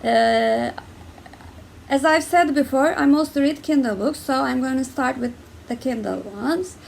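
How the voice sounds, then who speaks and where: A young woman with a Turkish accent talks through her reading habits in a loose, halting way, full of fillers and self-corrections. Her voice sits high with a gravelly fry. A faint hiss of noise runs behind her. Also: darkish tone. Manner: hesitant, many fillers and restarts, laughs often. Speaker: book vlogger. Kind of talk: casual chat to camera.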